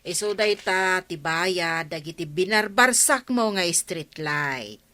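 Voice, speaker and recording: A woman's voice: on the low side, expressive, slow, bright, forceful tone, heavily acted. A woman acting a stern, insistent village woman who scolds, in an Ilocano comedy radio drama. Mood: stern scolding. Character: stern village woman demanding payment for damage.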